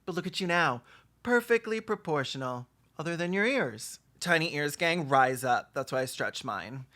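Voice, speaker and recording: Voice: medium pitch, lively intonation, moderate pace, bright, forceful tone. A person giving bawdy, sarcastic comedic commentary as the host of a reaction video, in a campy manner. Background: background music.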